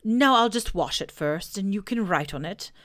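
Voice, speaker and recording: Woman doing a soft earnest bear, mild and unhurried; storybook reading. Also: mid-range voice, animated, lightly acted. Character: simple earnest gentle male bear.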